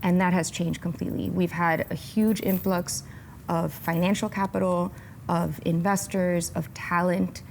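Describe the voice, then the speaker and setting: A woman answering interview questions as an executive guest, upbeat, with measured phrasing. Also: fairly low pitch, level tone, vocal fry, a little mellow, slight background noise. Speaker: tech company executive and guest.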